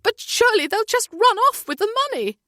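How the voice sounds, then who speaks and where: A woman's voice: very high-pitched, very animated, fairly quick, sharp tone, dry recording, pitched above the performer's own voice, full character voice. A woman voicing a panicking mother who is close to screaming, in an audiobook. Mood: panicked. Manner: near-screaming, desperate. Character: panicking mother, frantic and shrill.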